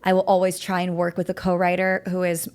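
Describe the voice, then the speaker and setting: A young woman who speaks English as a second language after German, hosting a casual interview about songwriting in a chatty, animated way. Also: fairly low pitch, fast talker, smooth. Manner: chatty, animated, often laughing. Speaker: podcast host.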